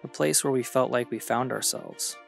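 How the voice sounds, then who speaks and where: Gravelly-edged man narrating over music. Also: mid-range voice, fairly even intonation, normal speed. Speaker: vlogger narrating own travel story. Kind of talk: scripted reflective voice-over narration.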